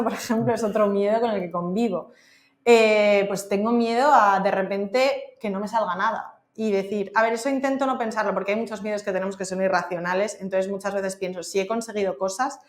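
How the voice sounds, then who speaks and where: A woman's voice: medium pitch, fairly even intonation, fairly quick. A woman in her thirties with a Castilian Spanish accent speaks openly and chattily, filling her talk with casual fillers. Her voice sits in a middling register. The recording has a noticeable roomy echo. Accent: Spain, Castilian Spanish. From Spain. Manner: candid, chatty, many fillers like "o sea" and "en plan". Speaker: podcast guest. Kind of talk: casual interview conversation.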